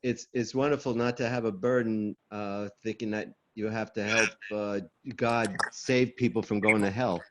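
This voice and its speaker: A man's voice: lowish voice, fairly even intonation, moderate pace, few pauses, heavy background noise. An older man with a Long Island New York accent, talking casually about himself as co-host of an online group. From Long Island, New York, USA. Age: 60s or older. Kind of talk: casual group video-call introduction. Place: online video call. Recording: dull lo-fi video call audio.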